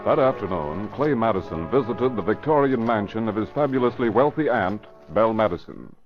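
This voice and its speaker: An actor as a formal narrator reading scripted storytelling for a vintage radio mystery drama. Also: male voice, fairly low pitch, expressive, brisk. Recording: muffled old radio recording. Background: music.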